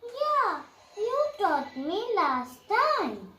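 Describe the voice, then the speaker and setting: A childlike puppet pupil acted by a woman, sulky yet eager. The voice is extremely high and very slow, with a singsong lilt and clear room echo. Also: big pitch swings, pushed and bright, a little hiss or hum, exaggerated voice. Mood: eager, reciting. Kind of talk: children's puppet show dialogue. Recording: echoey room.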